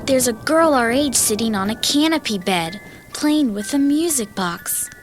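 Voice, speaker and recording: Bold, curious girl for kids' horror tape: high, animated, exclamatory. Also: a little slow, music in the background. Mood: amazed. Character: bold, curious young girl exploring a haunted mansion. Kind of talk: children's audio horror drama acting.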